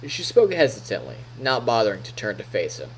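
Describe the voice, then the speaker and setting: A man, a streamer reading a visual novel aloud in an expressive storytelling style with casual asides. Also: lowish voice, brisk, slight background noise, rough recording.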